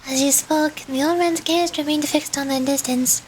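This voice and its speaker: A woman streamer reading a game's story aloud in a dramatic, deliberate way. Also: sits high, steady tone, a little hiss or hum, low-quality recording.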